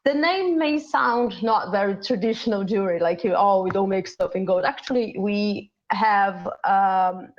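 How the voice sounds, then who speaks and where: A woman's voice: medium pitch, highs cut off. Woman guest, Portuguese native, on a call. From Brazil. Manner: searching for words, many fillers. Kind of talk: casual explanation on live stream.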